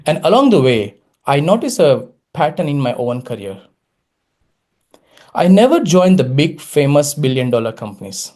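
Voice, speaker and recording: A man in his late thirties from India, a native Gujarati speaker with a Gujarati-accented English, telling his story as a prepared public speech with dramatic pauses and wry, self-deprecating humor. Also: fairly high pitch, sing-song, dark tone. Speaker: Toastmasters speaker.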